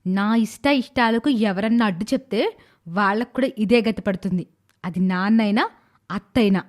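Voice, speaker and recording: A woman's voice: mid-range voice, moderate pace, close to an everyday voice. A woman voicing an arrogant, spoiled, short-tempered young daughter-in-law in a dramatised moral story, with a scolding, haughty manner. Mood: threatening.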